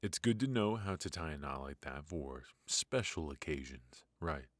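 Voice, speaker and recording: A man playing a ruthless but protective mafia boss in an intimate noir roleplay, murmuring close to the mic with hesitant pauses and quiet menace. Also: a bass voice, lively intonation, no room sound. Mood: coaxing concern. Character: brilliant, ruthless male mafia boss, possessive yet protective. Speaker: voice actor. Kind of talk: noir ASMR roleplay, intimate dramatic monologue. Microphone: close studio mic, dry.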